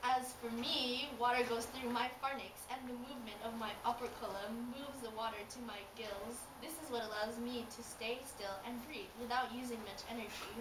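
A woman's voice: fairly high pitch, fairly even intonation, moderate pace, hardly any pauses, natural voice. A woman voicing a smug, snarky fish who taunts and giggles in an amateur comic puppet show. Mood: smug explaining. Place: indoors, homemade puppet stage filmed by a camera. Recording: distant echoey home recording, background noise.

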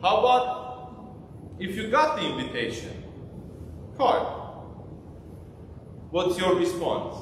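A man's voice: high voice, sing-song, slow, pauses often, a little hiss or hum. A man in his thirties, an Armenian-speaking priest, preaching to his congregation and asking them questions. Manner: interactive, asks the congregation questions. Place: small church sanctuary, indoors. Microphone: far camera. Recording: echoey church from far off.

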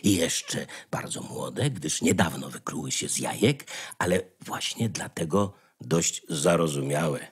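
Low-voiced, expressive male storyteller. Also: unhurried, husky. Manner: dramatic storytelling. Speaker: narrator. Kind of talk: scripted fairy-tale narration. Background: faint music.

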